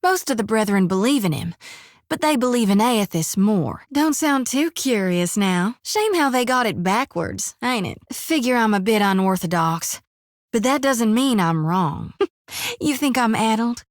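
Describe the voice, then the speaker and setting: A folksy young priestess of a death god, talks in a drawl, rambling and plainspoken. Her voice sits a little high. The game recording is close and dry, with no room around it. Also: lively intonation, measured pace, dry recording. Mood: earnest. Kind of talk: video game voice acting.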